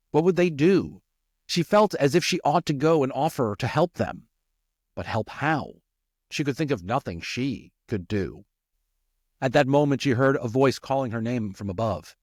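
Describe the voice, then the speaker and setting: A man's voice: medium pitch, no echo, clean studio sound. A man reading a storybook aloud as narrator, slipping into chatty, informal asides. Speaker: storyteller and audiobook reader.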